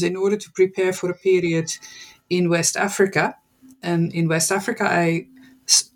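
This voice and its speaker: A speaker from the Netherlands, a guest professor answering interview questions in a thoughtful, hesitant way with many fillers. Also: medium pitch.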